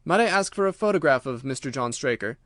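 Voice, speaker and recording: A man voicing a confident, brisk Victorian gentleman detective in an audiobook, courteous, assured and playfully secretive. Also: medium pitch, expressive, no room sound, studio quality, close to an everyday voice. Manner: courteous, assured, formally worded.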